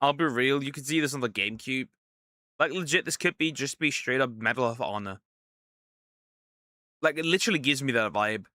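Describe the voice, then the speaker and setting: A male streamer giving casual, excitable commentary on a game, reacting with disbelief and joking to his chat. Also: medium pitch, fairly quick, pauses often, dry recording. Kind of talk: let's play commentary.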